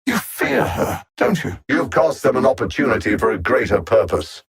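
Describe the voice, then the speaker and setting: An actor voicing a haughty alien machine intellect, a conquering video game villain who speaks with precise, condescending menace. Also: male voice, medium pitch, huge pitch swings, moderate pace, breathy edge, exaggerated voice. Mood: aloof, calculating.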